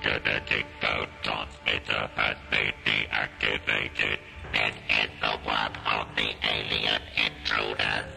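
Croaky robot alien overlord, super low and flat, barking cold orders, sci-fi audio drama. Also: male voice, faint music behind, exaggerated voice. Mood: cold, commanding. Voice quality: croaking, grating mechanical monotone. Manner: slow, flat, commanding. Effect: electronic robot voice modulation. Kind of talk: science-fiction audio drama acting.

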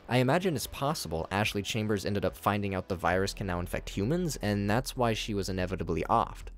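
Man narrating horror recaps over music. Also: lowish voice. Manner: casual, wry, swearing. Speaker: narrator of a video commentary.

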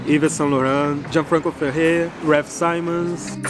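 A man's voice: a little high, flat delivery, unhurried. Enthusiastic vlogger man, native Portuguese speaker. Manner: enthusiastic, explanatory, with frequent "like". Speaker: travel vlogger. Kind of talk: casual travel vlog narration. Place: outdoors on a city street. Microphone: camera held at arm's length. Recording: clear outdoor street noise.

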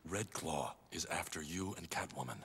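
An actor voicing a grim, brooding masked male vigilante, speaking deep, low and measured. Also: animated, a little hiss or hum. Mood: urgent. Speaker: masked detective hero. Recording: echoey cartoon soundtrack with loud score.

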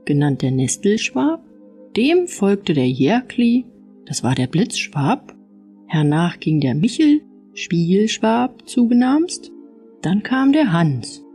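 Female audiobook narrator for fairy tales, animated delivery, warm tone. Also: medium pitch, slow, soft background music. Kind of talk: expressive fairy-tale storytelling.

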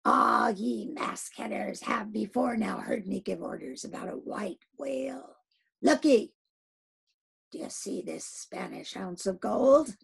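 A woman voicing an obsessed old one-legged sea captain who swings between hoarse whispers and loud shouts. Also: medium pitch, a little slow, few pauses, exaggerated voice. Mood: commanding. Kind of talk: audiobook reading.

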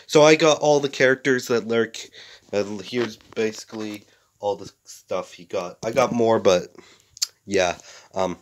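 Rambling sick young kiwi guy. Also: lowish voice. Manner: rambling, halting, many fillers and restarts. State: sick, voice a bit rough. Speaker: series creator. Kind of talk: casual announcement to camera. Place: small bedroom indoors.

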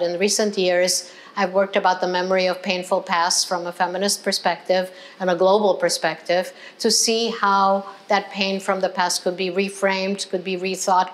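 An older woman speaking as an academic on a panel, articulate, earnest and measured. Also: on the low side. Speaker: professor and writer, panelist. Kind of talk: reflective panel discussion. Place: gallery room, indoors.

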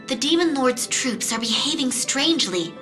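A woman's voice: sits high, animated, normal speed. An actress voicing an earnest, regal young fairy queen in a fantasy game, careful in her speech. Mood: concerned. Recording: echoey hall reverb under game music.

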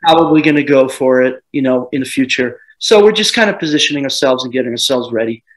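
A man in his thirties from the United States, chatting on a video call about selling his home, rambling with lots of restarts and animated bursts. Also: medium pitch, fast, low-quality recording. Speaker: home seller. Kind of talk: casual video call chat. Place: dim living room with sofa. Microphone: laptop webcam on video call.

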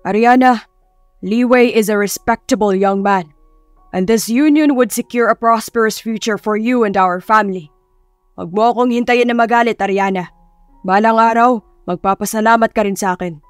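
A woman's voice: a little high, normal speed, smooth, no echo, soft background music, lightly acted. A woman voicing a stern, authoritative middle-aged father who speaks firmly and formally in an audio story. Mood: firm, persuasive. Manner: firm, commanding, formal.

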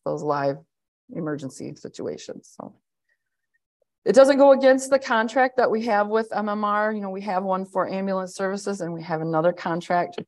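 A woman in her thirties speaks in a loud, slightly low-pitched voice. She gives an administrator's update to a county board from an office over a webcam call, in an easy, conversational way full of fillers and restarts. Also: creaky. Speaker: county administrator giving a report. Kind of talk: spoken report at a board work session. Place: indoor office, joining a hybrid meeting. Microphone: webcam on a video call.

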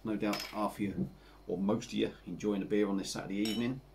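A man in his forties with an English accent, chatting casually to camera as he reviews a beer at his home bar, rambling and relaxed with lots of fillers. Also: lowish voice, fast, vocal fry, heavy background noise. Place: home bar room with dartboard and signs.

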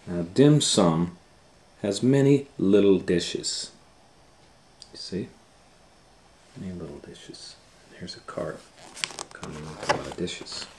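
A middle-aged man reading a picture book aloud to children in a relaxed, chatty way, sometimes dropping to a near whisper, recorded on a close camera mic at home. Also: low-pitched, takes it slowly, pauses often, a little hiss or hum. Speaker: children's storytime reader. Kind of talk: casual read-aloud for kids.

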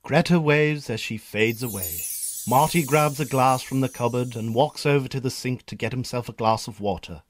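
Northern Irish man narrating, mid-pitched. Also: normal speed, a little hiss or hum. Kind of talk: scripted narration.

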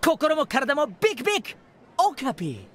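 A man's voice: very high voice, huge pitch swings, a little fast, a bit bright, full character voice. A man acting a playful, mischievous teenage volleyball player in an anime radio drama, with a teasing, theatrical manner.

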